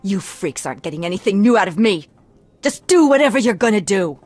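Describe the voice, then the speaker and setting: An actress playing a hard-bitten assassin held prisoner in a video game, tough, curt and scornful. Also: female voice, mid-range voice, animated, brisk, a little mellow, dry recording, faint music behind, lightly acted. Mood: defiant. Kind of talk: video game cutscene acting.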